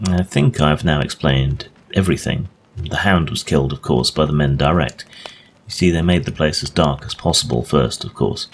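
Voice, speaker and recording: A man reads the part of a genial gentleman ghost hunter with an extremely deep voice. He explains his case conversationally, in old-fashioned storybook phrasing, over clear recording noise. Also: moderate pace. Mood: explaining.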